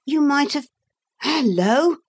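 Woman playing a keen little storybook boy, high and earnest. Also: lively intonation, slow. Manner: eager and earnest. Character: earnest, warm-hearted young boy.

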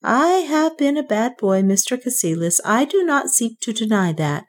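A sickly old banker in a storybook, as a woman narrator puts him on, speaking in wheedling, unreal tones that jump high and shaky with excitement. His voice climbs well above hers and wavers as he pleads for forgiveness. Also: normal speed. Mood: pleading. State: tremulous, excited. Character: feverish old male banker, wheedling and penitent.

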